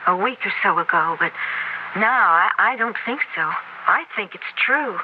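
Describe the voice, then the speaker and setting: An actress playing an anxious, loyal young woman with halting, questioning delivery in a 1970s radio drama. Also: mid-range voice, expressive, lightly acted. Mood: troubled. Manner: halting, questioning, breaking up phrases. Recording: muffled, noisy 1970s radio recording.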